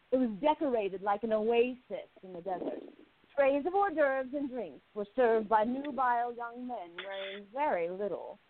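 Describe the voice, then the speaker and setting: An arch woman with a lively, bouncing voice who savors every juicy detail of her gossip column. The recording is narrow and phone-like. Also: on the high side, a little hiss or hum. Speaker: narrator and lead player, gossip columnist. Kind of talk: radio play narration and acting.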